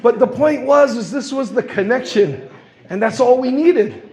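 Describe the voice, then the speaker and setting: A man's voice: very high voice, normal speed, a little mellow. A man in his sixties from Guam, speaking at a public forum and telling stories with the quoted voices acted out. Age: 60s. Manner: storytelling, acts out quoted voices. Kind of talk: impassioned public comment. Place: public meeting hall.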